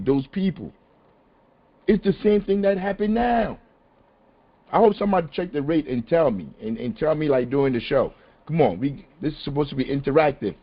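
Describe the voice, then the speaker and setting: A man hosting a podcast, talking casually and with animated emphasis. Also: a little high. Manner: animated, conversational, rising to exasperated emphasis. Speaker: podcast host. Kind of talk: casual discussion on a call-in podcast. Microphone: phone or conference call. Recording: dull lo-fi call audio.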